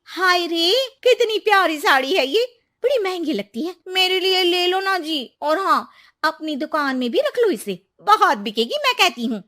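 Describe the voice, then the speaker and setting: A very high-voiced merchant's wife from a dramatized story , acted by a woman. She gushes and coaxes, wheedling to get what she likes. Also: big pitch swings, normal speed, bright, forceful tone, heavily acted. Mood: gushing, coaxing.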